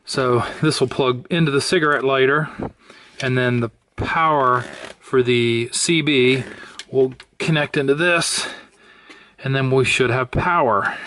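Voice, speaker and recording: Male DIY vlogger, mid-pitched, matter-of-fact, casual, recorded in a car with noise and clicks. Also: steady tone, a little slow, a bit bright. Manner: matter-of-fact, thinking aloud while working. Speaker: vlogger installing a car CB radio. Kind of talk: casual hands-on narration. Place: inside a car. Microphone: handheld camera microphone. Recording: clear background noise, clicks.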